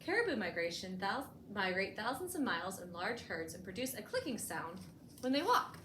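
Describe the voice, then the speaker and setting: A woman's voice: medium pitch, very animated, normal speed. Woman reading to children, echoey noisy room. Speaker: librarian hosting a bedtime storytime. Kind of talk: picture-book read-aloud with explanatory asides for children. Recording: echoey room with clear background noise.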